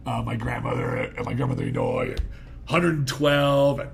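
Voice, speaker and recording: A man in his fifties with a gravelly edge hosts a relaxed podcast chat. He wanders through his thoughts and breaks off mid-sentence. A faint hiss sits under the recording. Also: medium pitch, moderate pace. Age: 50s. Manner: rambling, self-interrupting, laughing easily. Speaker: podcast host. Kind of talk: casual interview talk.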